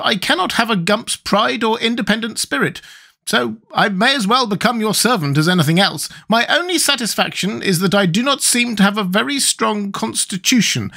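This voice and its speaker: A reader voicing a huge, gloomy winged storybook creature in a squeaky voice with formal speech. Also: sharp tone. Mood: gloomy resignation.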